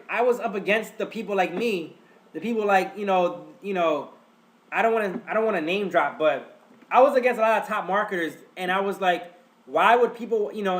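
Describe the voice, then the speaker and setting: A man's voice: high-pitched, a bit bright. A man with a stuffed-up nose hosting a live webinar, chatty and repetitive, often calling his listeners guys. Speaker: webinar host. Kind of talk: casual live webinar teaching.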